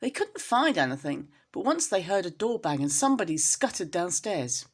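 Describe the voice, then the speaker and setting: Expressive woman storyteller with a husky voice. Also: lowish voice, moderate pace. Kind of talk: expressive children's story reading.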